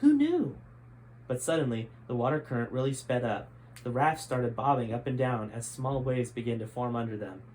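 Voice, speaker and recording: A man with a mid-pitched voice narrates an adventure story like an audiobook host. His delivery is enthusiastic and dramatic, and there is faint ambient noise behind him. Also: steady tone, fairly quick, darkish tone. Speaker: host reading a chapter of their own book. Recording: clearly noisy background ambience.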